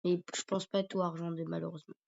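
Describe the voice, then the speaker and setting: A man's voice: a little high, level tone, fast talker, creaky, a little mellow, slight background noise. A man with a cold and a sore throat, rambling hesitantly through casual commentary over his gameplay. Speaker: gaming YouTuber. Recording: dull lo-fi recording.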